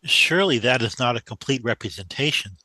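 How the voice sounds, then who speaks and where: Guy doing a nervous polite young math recruit, bright voice, super dry sound, radio play. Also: medium pitch, animated, fairly quick, dry recording, rough recording. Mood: cautious. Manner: hesitant, polite, cautious. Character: young, deferential, bewildered male mathematician recruit. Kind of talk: radio drama acting.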